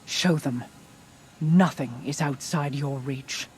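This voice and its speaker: An actress playing a regal, mystical queen in a video game, speaking in a stately, measured, portentous manner. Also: very deep voice, a little mellow, slight background noise. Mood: commanding, confident. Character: regal, mystical queen sorceress, solemn and commanding. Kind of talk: video game cutscene monologue.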